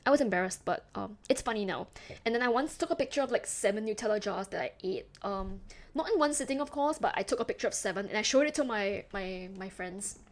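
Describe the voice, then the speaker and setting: A young woman from Singapore with a softened Singaporean accent, chatting casually with her stream chat, rambling with lots of fillers and laughs. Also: medium pitch, expressive, fast. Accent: Singaporean, softened toward Western English.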